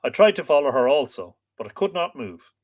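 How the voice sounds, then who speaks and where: A man's voice: mid-range voice, sing-song, brisk, a little mellow. A man reading the lines of a wild young boy raised by a deer, telling his sorrowful tale.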